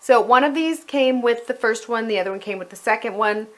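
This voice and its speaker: A woman's voice: fairly high pitch, fairly quick. Middle-aged woman giving a casual walkthrough. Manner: conversational, explanatory. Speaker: homeschooling parent reviewer. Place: at home.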